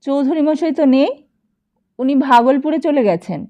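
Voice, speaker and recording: A woman's voice: high-pitched, moderate pace, mellow, muffled recording, voice pushed up, natural voice. A woman voicing a curious, deferential village man in an audiobook, speaking politely and inquisitively. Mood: matter-of-fact. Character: curious, deferential village men greeting an in-law. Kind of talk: audiobook character dialogue.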